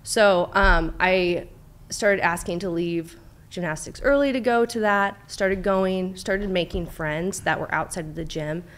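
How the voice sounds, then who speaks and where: A woman's voice: on the low side, measured pace. A young woman from Southern California, a therapist as guest, telling her life story openly and chattily, with many fillers, into a studio mic. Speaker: clinical director, therapist, guest. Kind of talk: casual interview, personal story. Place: studio with brick wall. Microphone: studio mic on boom arm, headphones.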